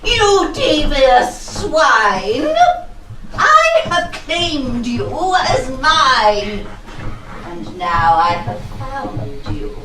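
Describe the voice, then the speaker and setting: Casting: sharp-tongued older widow, period stage farce, melodramatic, high-ish swooping voice, roomy sound. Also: female voice, very animated, extremely slow, background noise, exaggerated voice. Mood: predatory, demanding. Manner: mocking, melodramatic. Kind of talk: theatrical comic costume-drama verse.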